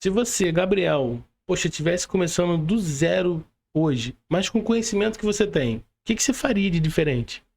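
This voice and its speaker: A man's voice: high-pitched, lively intonation, moderate pace, few pauses, darkish tone. A man with a Brazilian accent hosting a podcast, talking casually and often asking his guest to agree. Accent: Brazilian Portuguese. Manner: friendly, prompting, asks for agreement. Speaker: podcast host.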